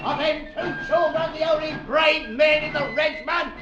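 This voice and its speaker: A man's voice: very high-pitched, normal speed. An actor playing an outraged army officer berating his troops in an old-time radio drama. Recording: dull echoey vintage radio, music and crowd.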